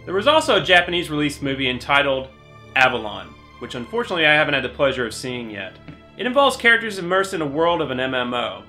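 Young man, video essay host, articulate and opinionated, fast pace, music in the background. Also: on the high side, bright, forceful tone. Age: young adult. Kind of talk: scripted video essay narration.

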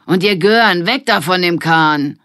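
A woman voicing a rude, drunken junkyard man in a children's audiobook, with a rough, threatening manner. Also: fairly low pitch, measured pace, fairly bright tone, dry recording, clean studio sound, voice pushed up. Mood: angry, barking.

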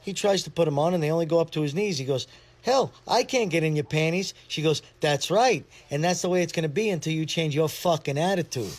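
A man with a slightly high voice tells a rehearsed joke as a short story. He narrates plainly in the present tense and acts out the quoted back-and-forth. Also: fairly quick.

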